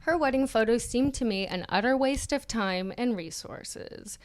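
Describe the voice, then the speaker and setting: A woman's voice: fairly high pitch, normal speed. A woman co-hosting a podcast, reading an essay aloud in a dramatic style mixed with casual banter. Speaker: podcast co-host.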